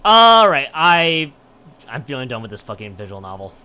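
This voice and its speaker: Bright-voiced man streaming, wildly animated pitch. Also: moderate pace, a little hiss or hum. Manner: stumbling over read text, repeating and restarting words. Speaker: live game streamer. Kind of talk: reading a visual novel aloud and chatting on a livestream.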